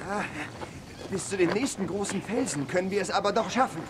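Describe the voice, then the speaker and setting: An actor playing a daring, cheeky deputy in a Western radio play, eager and persuasive. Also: male voice, high-pitched, brisk, lightly acted. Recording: noisy outdoor sound effects.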